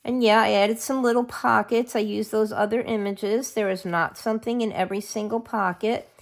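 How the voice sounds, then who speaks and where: A woman's voice: mid-range voice, fairly even intonation, moderate pace, creaky. A middle-aged woman with a scratchy voice from a cold, chatting casually while she shows a craft project. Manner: chatty, self-interrupting, forgets names of supplies. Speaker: craft video host.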